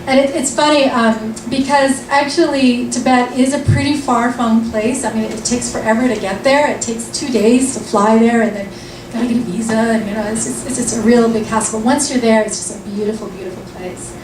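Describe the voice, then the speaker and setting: A woman's voice: a little high, steady tone, fairly quick. A woman from Vancouver hosting an event and reading from her book, chatty and full of ums and restarts. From Vancouver, Canada. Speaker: event host and author. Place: lounge event room, indoors. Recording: roomy lounge, mic far off, noisy.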